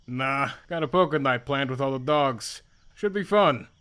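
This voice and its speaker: A man's voice: mid-range voice, normal speed, fairly bright tone, smooth, natural voice. A man playing a laid-back, wry skeleton with a casual, dry, needling way of talking in a fan comic dub. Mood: casual, probing.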